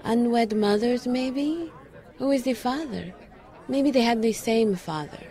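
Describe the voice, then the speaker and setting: A woman's voice: a little high, slow pace, mellow, a faint crowd. An actress playing a skeptical, guarded psychic woman, dry and teasing, in an audio drama. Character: skeptical, psychic enchanted woman, the detective's old flame.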